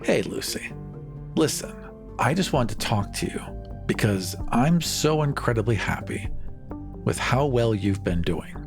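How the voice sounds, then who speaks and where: A man with a mid-pitched voice talks through a tabletop roleplaying game. He improvises conversationally, slipping into character, with faint music underneath. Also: lively intonation, measured pace, vocal fry. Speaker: player in a tabletop roleplaying show.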